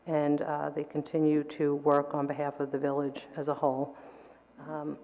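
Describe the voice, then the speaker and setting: A woman council member with a sore throat gives her reports at a council meeting, speaking in a measured way with fillers and throat clearing. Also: low-pitched. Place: council chamber. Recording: dull reverberant council chamber audio.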